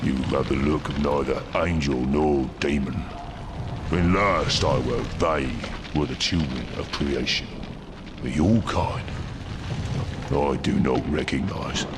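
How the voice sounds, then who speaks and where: An actor playing an ancient stone construct in a video game, heavy and rumbling, speaking in a slow, solemn way. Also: male voice, background noise. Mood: slow, puzzled. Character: ancient sleepy stone construct, huge and ponderous. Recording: music behind the voice.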